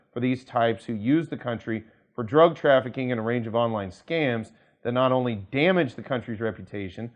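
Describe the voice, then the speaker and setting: An American man, an attorney, presenting news commentary in a measured, emphatic manner, stressing phrases deliberately and drawing some out. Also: mid-range voice. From United States. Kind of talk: news commentary to camera, quoting articles.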